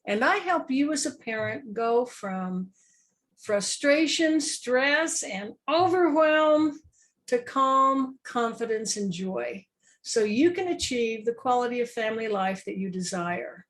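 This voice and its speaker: A woman hosting a parenting podcast, opening the show and interviewing a guest over a video call, deliberate and stretching key words. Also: fairly high pitch, lively intonation, a bit bright. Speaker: podcast host.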